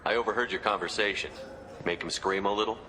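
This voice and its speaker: An actor playing a stern, blunt military commander in a video game, clipped and businesslike. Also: male voice, fairly low pitch, fast, sharp tone, in a large hall, slight background noise. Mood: brisk, businesslike. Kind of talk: video game cutscene acting.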